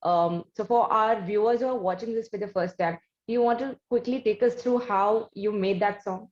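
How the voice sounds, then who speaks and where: Indian lady hosting an interview, measured and a bit formal, echoey room, muffled lofi sound. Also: mid-range voice, steady tone, darkish tone. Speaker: interview host. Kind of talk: conversational interviewing. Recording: roomy, dull lo-fi audio.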